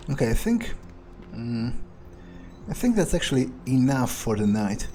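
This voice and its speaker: A man's voice: medium pitch, very animated, a little slow, warm tone, soft background music. A man role-playing his character through a video game, musing and thinking aloud in a hesitant way. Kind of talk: in-character let's play commentary.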